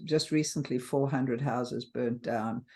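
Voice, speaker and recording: Older South African woman, halting and earnest. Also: a bass voice, fairly even intonation, mellow, breathy edge. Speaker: interview guest. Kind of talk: casual interview talk.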